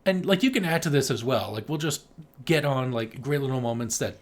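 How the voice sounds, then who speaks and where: A man's voice: mid-range voice, fairly quick. A man co-hosting a podcast, chatting casually about film in a rambling, jokey, sweary way. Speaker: podcast co-host.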